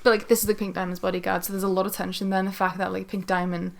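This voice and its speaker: A woman's voice: mid-range voice, level tone, very fast, gravelly. A woman in a casual group chat as a podcast co-host, opinionated and sweary.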